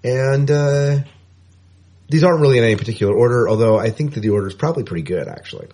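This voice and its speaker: A man's voice: medium pitch, lively intonation, fast talker, warm tone. A man co-hosting a podcast, chatting casually and correcting himself as he goes. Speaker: podcast co-host.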